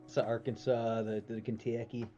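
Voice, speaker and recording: A man's voice: mid-range voice, flat delivery, moderate pace, warm tone, lo-fi sound, faint music behind. A man from Minnesota co-hosting a trivia stream, chatting casually, laughing easily and trailing off into asides. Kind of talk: casual chat.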